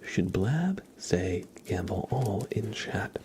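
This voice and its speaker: A man hosting an ASMR livestream, whispering softly and casually close to the microphone, drawing out and repeating words. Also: fairly low pitch, very animated, heavy background noise. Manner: soft, drawn-out, repeats words slowly.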